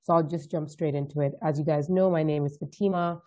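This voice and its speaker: A woman's voice: sits low, fairly even intonation, a little fast, warm tone. A woman in her thirties from Denmark, giving an upbeat, direct webinar talk and often checking in with "right?". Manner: upbeat, direct, frequent tag questions. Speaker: guest speaker. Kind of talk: webinar presentation. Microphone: video call. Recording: dull lo-fi call audio.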